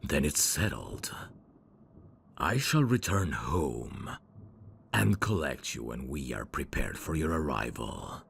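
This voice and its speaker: A man playing a suave, devoted demon servant of hell's royalty, speaking formally and deliberately in an ASMR audio drama. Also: a bit bright, a little hiss or hum, exaggerated voice. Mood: reassuring. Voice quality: gravelly, very deep. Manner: formal, courtly, slow and deliberate.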